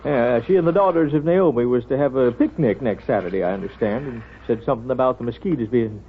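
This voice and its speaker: Wise small-town American doctor male actor, unhurried and hesitant, folksy phrasing, thin noisy vintage radio recording. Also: mid-range voice, narrow, tinny audio, natural voice. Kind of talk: 1930s radio drama acting.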